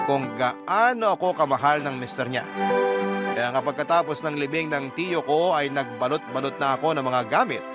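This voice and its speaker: A man with a Filipino accent reading a confessional story in radio style, with sentimental, deliberate phrasing. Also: a little high. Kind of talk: radio-style scripted first-person narration of a confession letter. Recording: loud background music. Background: music.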